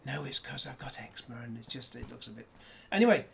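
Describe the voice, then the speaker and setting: A man's voice: mid-range voice, expressive, fast, creaky, a little hiss or hum. Rambling man unboxing a disc. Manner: rambling, jokey asides. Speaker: disc reviewer. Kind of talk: casual unboxing chat.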